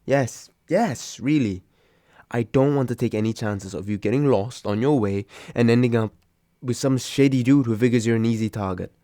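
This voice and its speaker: A man's voice: medium pitch, normal speed, mellow, dry recording, clean studio sound, close to an everyday voice. A young man acting a grumpy, sarcastic but secretly caring roommate woken from sleep, grumbling and sighing in an intimate roleplay audio drama. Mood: exasperated, protective. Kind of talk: intimate roleplay audio drama, one side of a phone call.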